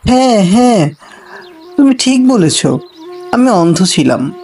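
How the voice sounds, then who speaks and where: A man's voice: high-pitched, animated, slow, a little mellow. A man playing a grateful, generous cartoon villager who was once blind, speaking with eager sincerity.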